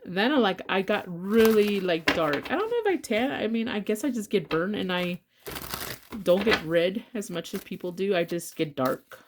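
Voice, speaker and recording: A woman's voice: medium pitch, expressive, normal speed, noisy background. A congested woman giving a casual tarot reading, talking bluntly with rambling asides and swearing freely. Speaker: tarot reader.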